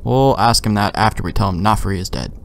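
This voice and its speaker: A man's voice: fairly low pitch, lively intonation, fairly quick, creaky, slight background noise. A man doing casual let's play commentary, thinking aloud informally and swearing here and there. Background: video game ambience.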